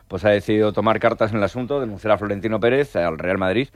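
A man reporting sports news on the radio, measured and agreeable, with many fillers and pauses. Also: fairly low pitch, no room sound.

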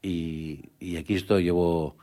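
Man, middle-aged, low voice, interview guest, hesitant, many restarts, earnest. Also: steady tone, unhurried, warm tone. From Canary Islands. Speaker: guest, rural real estate agent. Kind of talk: conversational interview.

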